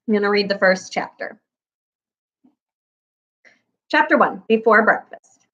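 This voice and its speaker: A woman's voice: mid-range voice, lively intonation, brisk, fairly bright tone, dull audio. A woman reading aloud to children in a storybook narration style. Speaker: read-aloud reader.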